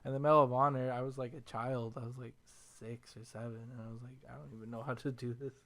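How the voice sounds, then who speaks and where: A young man hosting a casual podcast chat about video games, telling stories in an animated, self-deprecating way. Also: medium pitch, fast. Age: young adult. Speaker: podcast host.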